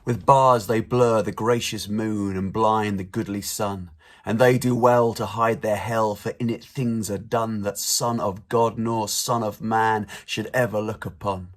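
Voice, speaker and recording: A man reading poetry aloud in a grave, deliberate, dramatic recitation. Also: on the low side, fairly even intonation. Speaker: poetry reader.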